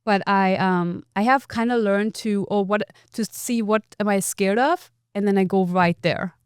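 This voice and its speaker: German-accented woman, smooth and dry. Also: medium pitch, no echo. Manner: blunt, candid, quick restarts. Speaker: guest, luxury real estate agent. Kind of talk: casual podcast interview.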